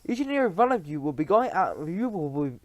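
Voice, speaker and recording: A man's voice: high voice, sing-song, fast talker, no room sound, pitched above the performer's own voice. A man reading aloud and voicing a tiny, tyrannical female witch leader who shrieks orders in stilted, odd grammar. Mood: commanding.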